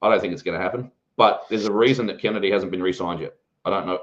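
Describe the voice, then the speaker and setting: A man with an Australian accent talking about his team as a podcast guest, rapid and full of opinions. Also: low voice, highs cut off. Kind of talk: casual sports chat.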